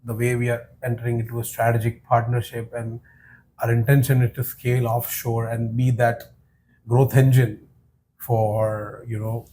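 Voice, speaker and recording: A man hosting a business podcast, asking questions in a steady, flat delivery with frequent yeahs and you-knows. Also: medium pitch, moderate pace, a little hiss or hum, poor audio. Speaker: podcast host. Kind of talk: conversational podcast interview.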